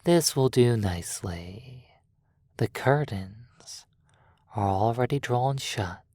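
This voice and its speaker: Close and dry, a woman plays a predatory vampire lulling someone to sleep in an audio roleplay. The voice is dark and very low for a female, with a breathy edge. Delivery is extremely slow and hypnotic, often sinking into a whisper. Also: lively intonation, no echo, exaggerated voice. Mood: seductive, coaxing. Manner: slow, hushed, drawn-out, often slipping into whispers. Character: seductive predatory vampire stranger, hypnotic and possessive.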